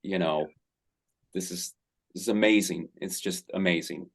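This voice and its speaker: A man's voice: low voice, fairly even intonation, normal speed, creaky. A middle-aged man, an actor, answering interview questions in a rambling, enthusiastic way with many fillers.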